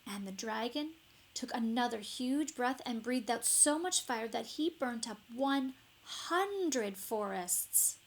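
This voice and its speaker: A woman narrating a children's story expressively, with deliberate dramatic emphasis. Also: on the high side, expressive. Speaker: storybook reader.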